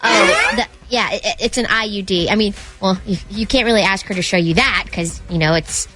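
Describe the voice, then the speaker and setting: A woman's voice: mid-range voice, fairly quick. A woman co-hosting a radio show, chatting casually and informally in a studio. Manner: conversational, informal. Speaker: radio co-host. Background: music.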